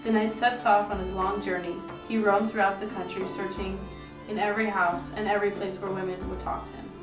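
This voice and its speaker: A woman's voice: medium pitch, flat delivery, a little fast. A woman reading a scripted story as narrator of an amateur puppet show. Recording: echoey, distant mic, music underneath.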